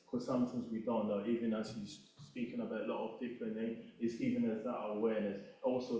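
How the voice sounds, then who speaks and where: A man's voice: mid-range voice, mellow. A man from Ghana, speaking off the cuff at a community event, earnest and thinking aloud. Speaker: panel speaker. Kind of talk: unscripted reflective talk at an event. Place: event hall. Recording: echoey hall, speaker far from the mic.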